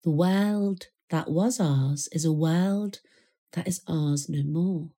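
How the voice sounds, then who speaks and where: Very slow, soft-toned woman reciting poetry. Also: low voice. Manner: measured, solemn delivery with deliberate pauses. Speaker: poetry reader.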